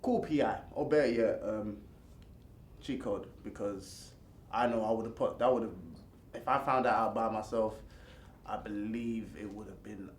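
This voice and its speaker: A British man with a stammer talks through a casual interview chat. He halts and repeats himself and often laughs things off. The room is echoey, with faint background hiss. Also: lowish voice. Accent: British English. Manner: halting, repeats words, laughs off questions. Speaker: guest.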